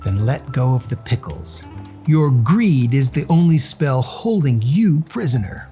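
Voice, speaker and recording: A man's voice: medium pitch, lively intonation, measured pace, dark tone, faint music behind, close to an everyday voice. A man voicing a clever, confident, teasing storybook hero with an unruffled, mocking manner.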